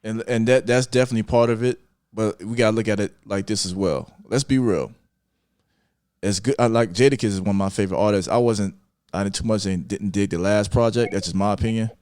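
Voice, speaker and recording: A man's voice: on the low side, fairly quick, darkish tone, clear, resonant tone, dry recording, clean studio sound. A man chatting casually and opinionatedly as a podcast panelist, restating his phrases for emphasis. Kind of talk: casual panel discussion about hip-hop.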